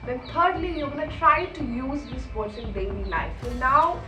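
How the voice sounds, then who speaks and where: A woman's voice: high voice, animated, moderate pace. A young woman with an Indian English accent and Malayalam as her first language, teaching English in an earnest, repetitive way, her voice slightly cracky from a cold. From Kerala, India. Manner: earnest, repeats herself, apologetic. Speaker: English teacher, channel host. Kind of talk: instructional vlog talk. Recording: roomy, distant mic, music underneath.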